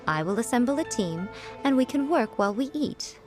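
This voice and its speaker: A woman's voice: fairly high pitch, expressive, normal speed, soft background music, lightly acted. An actress voicing a sly, confident woman who runs a publishing house, speaking in a teasing, composed way. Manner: smug, teasing, composed and persuasive. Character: sly, confident adult woman editor, playful and scheming. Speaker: chief editor of a publishing house. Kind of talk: game voice acting.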